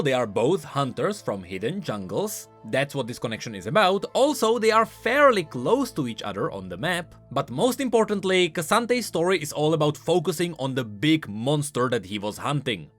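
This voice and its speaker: Guy doing game lore commentary, slightly high voice, super animated up and down, emphatic explainer, quiet music behind. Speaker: video game lore YouTuber. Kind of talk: scripted commentary on game voice lines.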